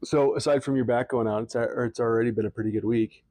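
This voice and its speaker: A man's voice: mid-range voice, steady tone, quick pace, mellow, no echo. A man in his late thirties co-hosting a podcast, joking and teasing in casual chat, laughing often. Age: 30s. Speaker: podcast co-host.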